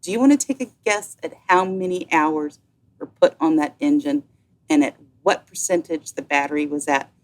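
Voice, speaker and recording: A woman's voice: sits low, normal speed, rough recording. A woman speaking as a guest yacht sales representative, chatting casually and enthusiastically as she tells a story. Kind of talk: casual chat, telling an anecdote.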